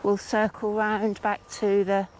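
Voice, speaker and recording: A woman's voice: medium pitch, completely flat delivery, measured pace, darkish tone, slight background noise. A woman narrating a casual nature walk vlog outdoors, musing in short phrases full of wonder. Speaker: vlogger. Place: outdoors in woodland.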